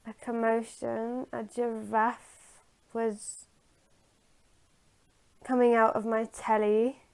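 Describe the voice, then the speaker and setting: Hesitant, slow-paced woman retelling a story. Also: on the high side, level tone, creaky, darkish tone, clean tone. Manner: halting, hesitant, searching for words.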